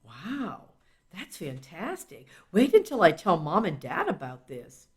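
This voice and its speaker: A woman's voice: fairly low pitch, wildly swooping pitch, measured pace, husky, heavily acted. Curious, eager kid, played by a woman, naive and wondering, very varied intonation, children's audiobook dialogue. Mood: amazed, excited. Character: curious, eager child asking questions about allowance.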